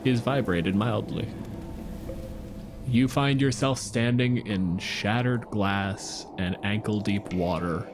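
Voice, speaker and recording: A man's voice: lowish voice, slow, noisy background. A man as co-host and game master, moving between tabletop narration and casual host talk.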